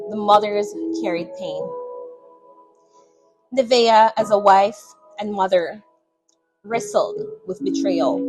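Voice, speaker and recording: Slow, solemn female story narrator. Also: mid-range voice, low-quality recording. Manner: solemn, measured delivery in short phrases. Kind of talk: scripted dramatic story narration.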